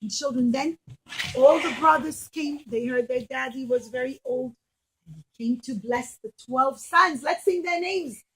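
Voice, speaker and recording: A woman teaching as an animated storyteller for children, speaking simply and emphatically and putting questions to her listeners. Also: high-pitched, measured pace, slightly rough, rough recording.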